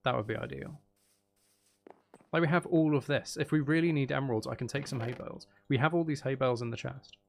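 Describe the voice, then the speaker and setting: A man's voice: medium pitch, fairly quick, gravelly, a little hiss or hum. A man giving casual live gameplay commentary, chattily thinking aloud and muttering to himself with mild exasperation. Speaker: gaming streamer. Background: background music.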